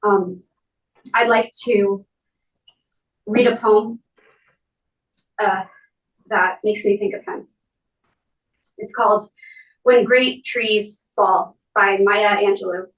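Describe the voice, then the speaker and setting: A woman speaking at a memorial, reading and talking in measured, deliberate phrases with pauses. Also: medium pitch, fairly even intonation. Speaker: speaker giving a eulogy for their parent. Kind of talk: memorial speech and poem reading. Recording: roomy echo, distant mic.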